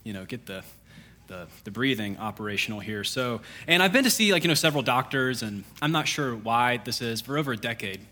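A man in his thirties, a pastor, reading scripture and then preaching in a relaxed, joking, conversational way with fillers. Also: mid-range voice, a little fast. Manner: self-deprecating jokes, conversational with fillers. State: congested.

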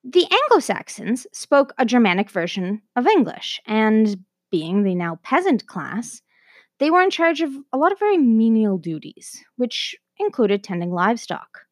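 A woman's voice: a little high, sing-song, moderate pace, dry recording, clean studio sound. A woman with a Canadian accent hosting an educational podcast, narrating a script in an enthusiastic, conversational way. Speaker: host of an educational language podcast.

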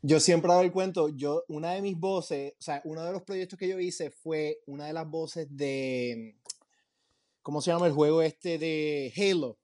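A man's voice: fairly high pitch, a little slow, darkish tone. A man with a Puerto Rican accent chatting casually as he interviews guests. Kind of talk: casual chat.

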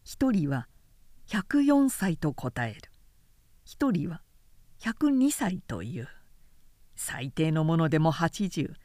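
Female literary narrator: measured, articulate, warm dark tone, very dry close sound. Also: low-pitched, sing-song, no echo. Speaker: professional narrator. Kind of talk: scripted literary audiobook narration.

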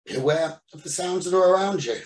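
A man leading a guided meditation as the teacher, giving soothing instructions in short phrases with pauses between them. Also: fairly high pitch, animated. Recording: roomy echo, distant from the mic.

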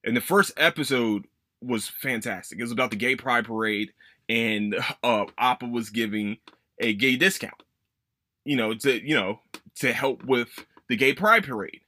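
A man's voice: medium pitch, moderate pace, bright, forceful tone, dry recording. Rambling, profane man on a podcast. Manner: rambling, profane, self-correcting. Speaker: podcast host. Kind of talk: casual solo podcast chat.

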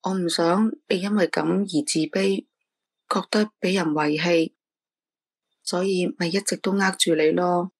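A woman's voice: lowish voice, fairly even intonation, measured pace. A woman with a Hong Kong Cantonese accent voicing an anxious, doting single mother in a radio drama, who talks in a pleading, emotional way. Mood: remorseful.

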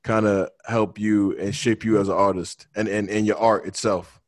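A man's voice: on the low side, little pitch movement, moderate pace. A man interviewing a rapper, asking slangy, casual questions full of restarts. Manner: slangy, friendly, stacks hesitant restarts.

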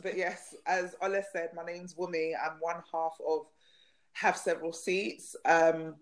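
A woman's voice: on the low side, flat delivery, unhurried. A young woman with a South London accent, guest on a podcast, talking frankly, swearing and laughing. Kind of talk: casual chat.

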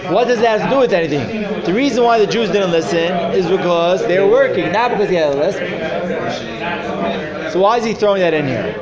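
A man's voice: sits high, brisk. A man teaching an informal Torah class, explaining step by step and posing rhetorical questions to his students. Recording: dull lo-fi recording with loud crowd.

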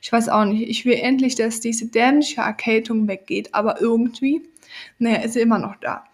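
A woman's voice: fairly high pitch, steady tone, normal speed. A woman with a cold hosting a podcast, giving an earnest motivational monologue straight to the listener. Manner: earnest, coaching, addresses listener directly. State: has a cold. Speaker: podcast host.

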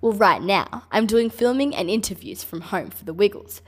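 A woman's voice: mid-range voice, expressive, normal speed, breathy edge. A woman in her twenties with an Australian accent, a children's entertainer answering kids' questions from home, bubbly and enthusiastic. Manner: bubbly, enthusiastic, speaks gently to kids.

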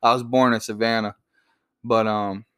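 A man's voice: fairly low pitch, flat delivery, moderate pace, a bit bright, clean tone. A man in his late twenties co-hosting a casual, unscripted podcast chat, laughing often and rambling through restarts. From born in Georgia, raised in Virginia. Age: 20s. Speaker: podcast co-host.

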